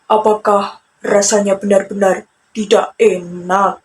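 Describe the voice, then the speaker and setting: A woman voicing a wronged, resolute male turtle who speaks deliberately and reproachfully in a children's fable. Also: mid-range voice, level tone, lightly acted. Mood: doubtful. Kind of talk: children's storytelling character voice.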